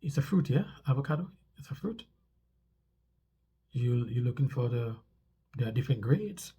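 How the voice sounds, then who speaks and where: A man's voice: medium pitch, expressive, normal speed, mellow, breathy edge, lo-fi sound. A man with a stuffy nose talks casually to viewers as a vlog host, explaining things conversationally and repeating phrases for emphasis.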